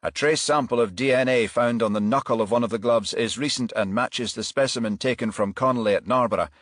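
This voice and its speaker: A man voicing a matter-of-fact detective inspector in an audiobook, briskly reporting forensic news to a colleague. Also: mid-range voice, fairly bright tone, no room sound, studio quality, voice pushed up, natural voice. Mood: pleased, factual.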